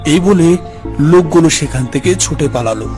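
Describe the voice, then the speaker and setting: A man's voice: a little high, expressive, normal speed, a little mellow, faint music behind. A man narrating a scripted horror story in the first person.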